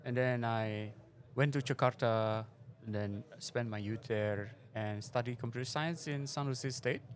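A man's voice: mid-range voice, a little slow. Middle-aged man, hesitant guest. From Indonesia. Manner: hesitant, many fillers, non-native phrasing. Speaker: guest, venture investor. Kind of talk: interview answers. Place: conference forum venue.

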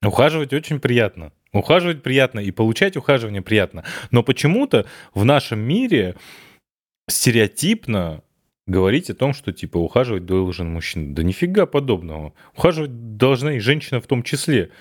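A man's voice: medium pitch, big pitch swings, a little fast. A young man co-hosting a podcast, giving earnest, emphatic advice in casual chat. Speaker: podcast co-host.

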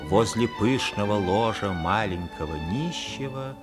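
A man narrating a radio play as a measured, gentle storyteller. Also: fairly low pitch, animated. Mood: storytelling. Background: violin music.

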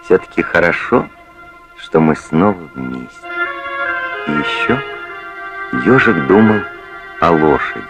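An actor narrating an animated fairy tale as a calm, gentle, wistful storyteller, unhurried in delivery. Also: male voice, rumbling low, music in the background.

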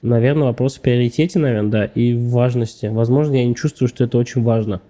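Guy rattling along fast on a podcast, very dark mellow tone, hedges a lot. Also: mid-range voice. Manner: quick, hedging, thinking aloud. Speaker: podcast guest. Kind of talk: casual podcast interview talk.

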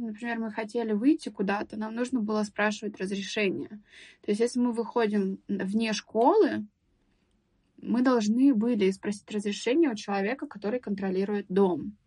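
A young woman guest on a podcast, recalling her school years in a thoughtful, hesitant way with many pauses and restarts. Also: medium pitch, a little mellow, no room sound. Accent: Russian speaker living in England. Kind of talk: casual podcast interview.

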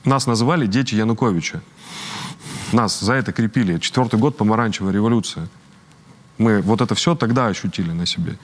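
A man in his thirties from Ukraine speaks in a low, steady register. He talks earnestly, often halting and restarting his sentences as he answers an interviewer's questions. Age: 30s. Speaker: guest. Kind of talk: interview conversation.

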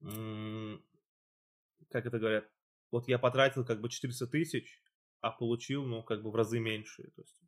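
A man's voice: medium pitch, steady tone, normal speed. A man hosting a casual chat, explaining at length with loose phrasing and fillers. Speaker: podcast host.

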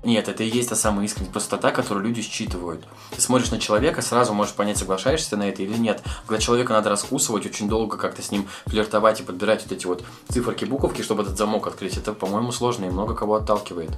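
Young man, lowish voice, rapid-fire and preachy, podcast co-host with faint music underneath. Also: fairly even intonation. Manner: lecturing, quick and provocative. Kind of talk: casual podcast chat.

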